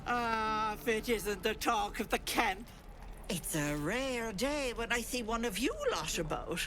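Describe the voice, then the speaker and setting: A woman's voice: fairly high pitch, lively intonation, a little slow, music in the background. A woman voicing a doting old potion-selling auntie in a video game, fussing in a folksy, sugary manner. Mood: fussing, sweet. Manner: doting, folksy, singsong pet names. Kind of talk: video game character acting.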